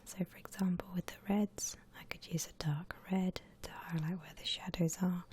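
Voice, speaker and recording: A woman softly whispering an ASMR drawing tutorial in a breathy, gentle, unhurried way, like an instructor. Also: lowish voice, steady tone. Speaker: ASMR art channel host.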